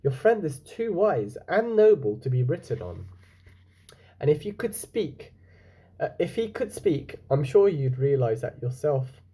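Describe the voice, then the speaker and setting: A man voicing a gracious female lake goddess, soft and calm, in a storybook read-aloud. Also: breathy edge, exaggerated voice. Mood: gentle, commanding. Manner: soft, low and calm, measured.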